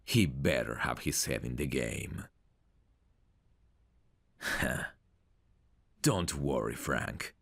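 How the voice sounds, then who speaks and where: A man's voice: very deep voice, expressive, very slow, long pauses, a bit bright, heavily acted. A man playing a cocky, smug prizefighter in an ASMR roleplay audio drama, with a boastful, teasing, self-assured manner. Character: cocky, smug male prizefighter, proud of his looks. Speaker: voice actor.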